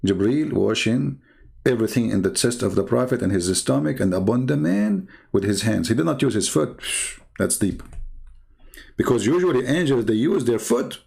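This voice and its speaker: Gravelly sarcastic man, Arabic accent. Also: lowish voice, expressive, normal speed, mellow. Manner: sarcastic, needling, teasing. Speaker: live stream host. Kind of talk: mocking commentary while reading religious texts.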